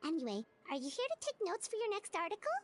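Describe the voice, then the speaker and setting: Video game sidekick: a chirpy childlike fairy, extremely high-pitched, hugely animated and excitable. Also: female voice, fast, soft background music, exaggerated voice. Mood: excited, curious. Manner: chirpy, refers to self in third person. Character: small excitable childlike floating fairy companion, female.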